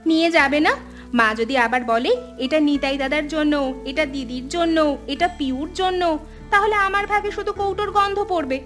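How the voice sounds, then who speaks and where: Cartoon younger sister, played by a woman: high voice, feisty village girl grown up, outspoken, quick to protest, music behind. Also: fairly bright tone. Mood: indignant. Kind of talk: animated family drama dialogue.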